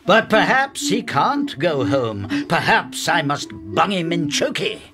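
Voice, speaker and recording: An actor playing a louche, flippant upper-class 1920s magistrate in a radio drama, breezy and playful. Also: male voice, fairly high pitch, very animated, slow pace, music in the background, heavily acted. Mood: playfully mocking.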